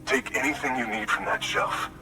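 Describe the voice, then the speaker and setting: An actor playing a worried, desperate husband in a video game, urgent and halting as he pleads. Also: lightly acted. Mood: urgent pleading. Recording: echoey, distant, music behind.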